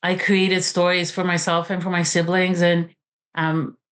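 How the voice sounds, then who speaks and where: Romanian-accented woman author, halting speech. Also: fairly low pitch, little pitch movement, a bit bright. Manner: halting, many "um"s and restarts. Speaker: author and college teacher. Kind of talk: interview guest talk.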